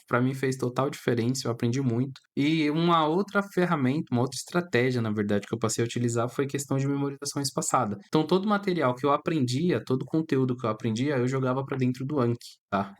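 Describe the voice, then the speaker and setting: Chatty Brazilian man, casual vlog talk. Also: medium pitch. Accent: Brazilian Portuguese. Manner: chatty, peppers speech with "cara" and "tá?". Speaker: English teacher and channel host.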